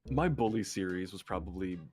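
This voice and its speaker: A man's voice: sits low, brisk, a little mellow, faint music behind. A man talking casually as a guest on a stream, an audio creator chatting with a fellow streamer. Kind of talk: casual chat.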